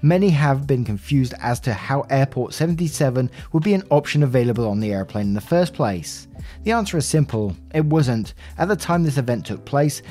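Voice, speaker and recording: Man, podcast narrator, mid-pitched, mellow tone, expressive read-aloud storytelling, faint music underneath. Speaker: podcast host reading out online posts. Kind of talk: reading a written story aloud with brief asides.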